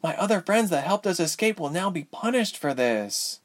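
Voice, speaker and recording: Man voicing a high-pitched, earnest captive young woman. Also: very animated, moderate pace. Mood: dismayed. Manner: incredulous, dry, earnest. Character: distraught, bitter captive young woman, self-blaming.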